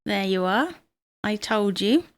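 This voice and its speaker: A brisk, no-nonsense middle-aged wife, played by an actress, mothers and scolds her husband in a domestic audio comedy. Her pitch is average for a woman, and the sound is dry, with no room to it. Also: animated, no echo. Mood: firm, caring.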